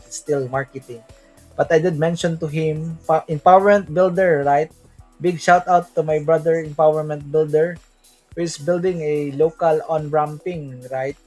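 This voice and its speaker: Filipino guy chatting on stream, kinda high voice, lots of "right?", faint music behind. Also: darkish tone, rough recording. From Philippines. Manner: rambling, fillers, tag questions. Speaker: livestream host. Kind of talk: casual livestream crypto chat.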